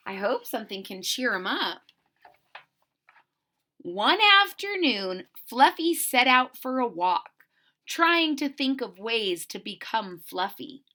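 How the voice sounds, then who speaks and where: A woman reading a children's storybook aloud with expressive, dramatic emphasis and chatty asides to young listeners. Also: fairly high pitch, slow pace.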